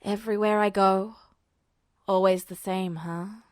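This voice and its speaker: A woman voicing a weary, guarded teenage boy who speaks halting, terse English as a foreigner in a fan fiction reading. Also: medium pitch, fairly even intonation, no echo, clean studio sound, voice pushed down, natural voice. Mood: wry, curious. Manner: halting, terse phrases in an unfamiliar language.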